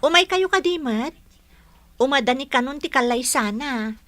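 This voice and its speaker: An actress with a Filipino accent playing a cheerful young granddaughter in a village radio drama. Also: female voice, high-pitched, expressive, moderate pace, a bit bright, no echo. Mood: friendly, coaxing. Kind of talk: Ilocano radio comedy drama acting.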